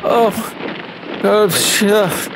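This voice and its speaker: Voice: medium pitch, steady tone, noisy background. An actor in an audio drama playing a bewildered, easily spooked country bumpkin. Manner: halting, folksy.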